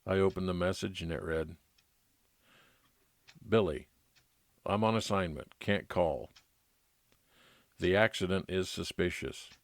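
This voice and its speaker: Noir radio lead: wry, weary male detective, played by a man, low voice, dry close sound. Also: unhurried, long pauses, no room sound. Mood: reflective, wry. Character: world-weary, wry male private investigator narrating his case. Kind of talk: old-time radio noir narration and dialogue.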